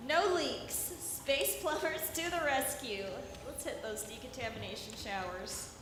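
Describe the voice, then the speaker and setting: A woman's voice: high voice, animated, unhurried. A woman playing a guarded, practical, lonely young female space engineer in a live radio drama, with a wry, plain-spoken manner. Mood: wry, candid. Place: live convention stage performance in a hall. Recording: echoey noisy hall, long reverb.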